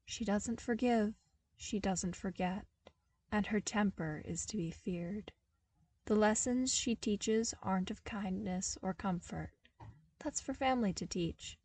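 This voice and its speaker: An earnest young man from a hard desert world , voiced by a woman reader, explains things with wry, emphatic energy. The pitch often lifts above narration, and the sound is close and dry. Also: a little slow, darkish tone, husky, no room sound.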